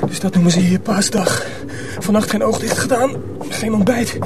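A man's voice: high-pitched, normal speed. An actor playing a young, plain-spoken male mill apprentice in a radio drama, with a colloquial delivery. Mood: weary grumbling. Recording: loud noise behind voice.

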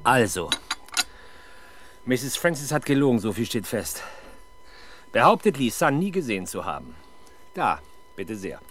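A man's voice: mid-range voice, sing-song, unhurried, long pauses, a bit bright. An actor playing a sardonic, exasperated male police detective in a 1970s German radio crime drama, with dry, sarcastic delivery. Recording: 1970s radio production with faint background noise.

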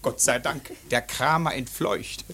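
A man's voice: mid-range voice, unhurried, pushed and bright, a little hiss or hum. An actor playing a jovial, mocking male civil servant with affected, jocular speech in a German radio play.

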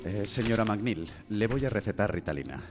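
Man playing a low-voiced patient doctor. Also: lively intonation, a little fast, music in the background, lightly acted. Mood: hesitant, tactful. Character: patient, tactful male clinic doctor. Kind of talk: radio drama acting.

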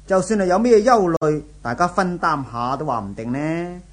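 Actor as a higher-voiced, inquisitive male office pal. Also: normal speed, lightly acted. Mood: concerned, reassuring. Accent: Hong Kong Cantonese. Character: friendly, inquisitive male office colleague, breezily reassuring. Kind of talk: 1970s radio drama acting.

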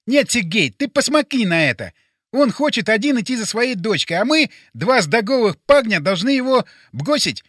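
Man acting a stubborn excitable sidekick, high bright voice, speech impediment on R, insistent, audiobook character. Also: moderate pace, no room sound, clean studio sound, exaggerated voice. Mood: indignant. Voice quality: speech impediment, garbled R sounds. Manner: emphatic, insistent. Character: bossy, excitable, bespectacled male sidekick, stubborn and self-important.

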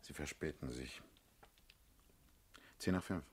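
Radio drama lead: brusque, paternal political boss, world-weary, very deep rough husky voice. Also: male voice, slow pace, full character voice. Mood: wearily brooding. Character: world-weary, commanding male party leader, blunt and sardonic. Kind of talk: German radio drama acting.

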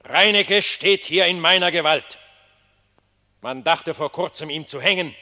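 A slow, ringing lion king. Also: on the high side, pushed and bright. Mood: solemn, commanding. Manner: declaiming, stately and deliberate. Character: solemn lion king addressing his animal court. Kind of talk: classic German radio play verse acting. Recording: long hall reverb.

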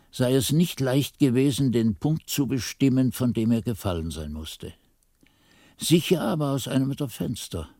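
An actor playing a grave monastic man in a German radio drama, giving a formal, measured, solemn account. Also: lowish voice, a little mellow, no echo, studio quality.

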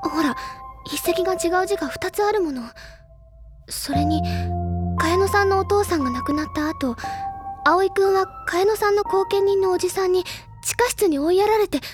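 Bookish teen heroine, audio drama: very high voice, excitable, theatrical, bossy, over background music. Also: female voice, normal speed. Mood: earnest, pondering. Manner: expressive, feminine phrasing, theatrical. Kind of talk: Japanese audio drama acting, anime style. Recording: studio drama with music behind.

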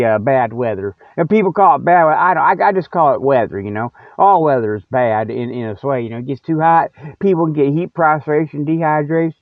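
A man's voice: on the high side, no room sound. A man hosting a humorous mock newscast, rambling in a casual, folksy, colloquial and jokey way. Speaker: host of a humorous mock news show. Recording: narrow phone-like sound, dry.

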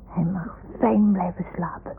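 A woman playing a small, earnest boy in an old radio drama, speaking slowly in simple childlike phrases. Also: lowish voice, steady tone, gravelly. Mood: tender, hushed. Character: small earnest boy talking to his toy dog. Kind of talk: 1960s Dutch radio drama acting. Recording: noisy, muffled old radio recording.

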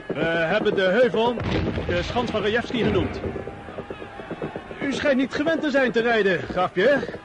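High-voiced, courteous officer on the battlefield. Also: male voice, lively intonation, unhurried, pauses often, heavy background noise. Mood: courteous, brisk. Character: courteous officer, calm under fire, guiding a count. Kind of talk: radio drama acting. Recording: loud artillery and horses behind.

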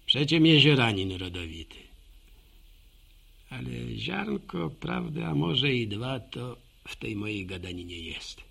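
An actor playing a chatty, homespun village postman with a gravelly voice, rambling in a folksy monologue for a radio drama. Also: mid-range voice, sing-song, measured pace, sharp tone, full character voice. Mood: reflective. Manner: folksy, rambling, musing aloud to himself and listeners. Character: chatty, homespun old village postman, proud local gossip.

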